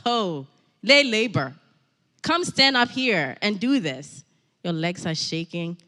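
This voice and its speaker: A middle-aged woman preaches in an animated, emphatic way, repeating her key lines for effect. Her voice is bright and forward. A long reverb trails after her words, as if she stands in a big church hall. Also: medium pitch, slow. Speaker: guest preacher. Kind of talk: sermon with personal asides.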